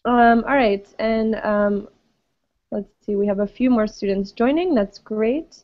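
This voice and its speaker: A woman teaches English to an online class, patient and friendly, filling her pauses with ums. Her voice sits a little high. The sound is dry and dull, like a web call. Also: no echo.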